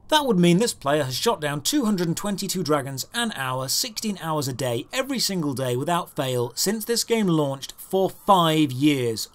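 High-pitched man, dryly sarcastic. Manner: dry, exasperated sarcasm. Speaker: video game reviewer. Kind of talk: scripted review narration over gameplay.

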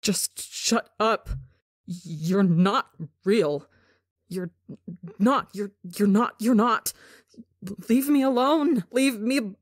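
A woman voicing an anxious young man, a tall part-creature hybrid, who mumbles low and grumpily. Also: very slow, long pauses, dry recording, clean studio sound. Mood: panicked.

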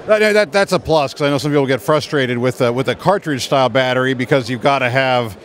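A man's voice: mid-range voice, fast talker. A man in his forties hosting an on-location interview with a handheld microphone at a trade show. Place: busy trade show floor.

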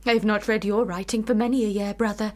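An actress in a period radio drama playing a lovelorn, sharp-witted sister, speaking with formal, deliberate, cutting irony. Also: female voice, medium pitch, level tone, close to an everyday voice. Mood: mocking.

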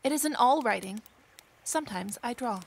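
A woman's voice: a little high, animated, normal speed, vocal fry, slightly rough, full character voice. Proud, guarded young castaway woman. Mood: firm. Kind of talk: Regency-era audio drama acting.

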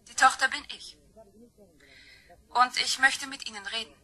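A woman's voice: high voice, steady tone, normal speed, bright, forceful tone, full character voice. A woman playing an insistent, purposeful stepdaughter in a crime radio play, polite but urgent. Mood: urgent, determined.

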